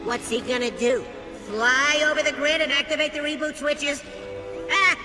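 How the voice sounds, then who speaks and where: An actor playing a sarcastic little alien technician in a game, with a sneering manner. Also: male voice, very high voice, fairly even intonation, moderate pace, in a large hall, over music.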